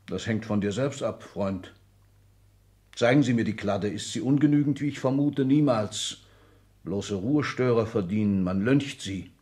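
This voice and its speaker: A man's voice: fairly low pitch, moderate pace, a little mellow, close to an everyday voice. A man acting an imperious older aristocrat in a 1960s German radio play, commanding and condescending in abrupt sentences. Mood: fiery, commanding. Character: imperious older aristocratic Excellency, restless military-minded patriarch.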